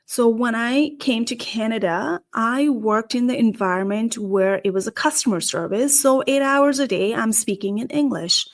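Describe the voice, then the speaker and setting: A woman's voice: on the high side, moderate pace, few pauses. A middle-aged woman from Nepal with a Nepali accent, talking earnestly to camera as a career show host and posing questions to her listeners. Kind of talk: solo talk to camera.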